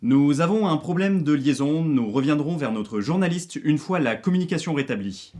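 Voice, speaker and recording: A man's voice: a little high, animated, moderate pace, a little mellow, natural voice. A man playing a formal television news anchor in an audio drama. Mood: flustered. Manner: formal, announcing. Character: male TV news anchor, formal, secretly craving the apples. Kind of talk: French news bulletin acting in an audio drama.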